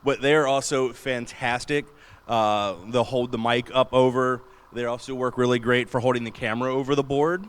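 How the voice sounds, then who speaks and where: Guy with a big booming voice, chatty and excited, talking at a con panel in a big room. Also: normal speed, smooth. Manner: enthusiastic, chatty, laughs often. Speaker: convention panelist. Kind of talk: casual panel talk on streaming gear. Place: large convention panel room.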